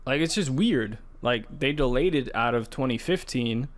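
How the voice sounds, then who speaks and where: A man with a medium-pitched voice chats as a podcast co-host. He speaks casually and informally, with the enthusiasm of a keen fan. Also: expressive, moderate pace. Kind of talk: casual chat about video games and movies.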